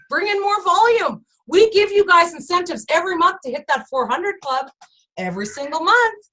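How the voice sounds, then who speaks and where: A woman leading her sales team in a casual motivational chat, emphatic and animated, often turning to the listeners directly. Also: very high-pitched, normal speed, poor audio. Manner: emphatic, animated, keeps addressing listeners as "you guys".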